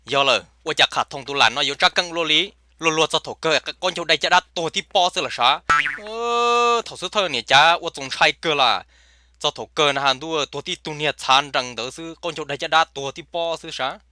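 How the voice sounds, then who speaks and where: Man doing a sharp, fast-chattering cartoon guy. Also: on the high side, dry recording, clean studio sound. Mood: exclaiming. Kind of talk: comic cartoon voice acting.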